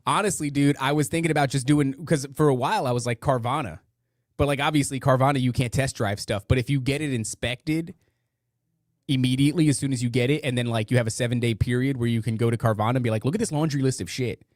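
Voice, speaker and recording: A man with a rough voice hosting a podcast, chatting casually in rambling, slangy, profane talk to a live audience online. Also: medium pitch, fast, no echo, studio quality. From northeastern United States. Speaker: podcast host. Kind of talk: casual live chat, reading listener comments.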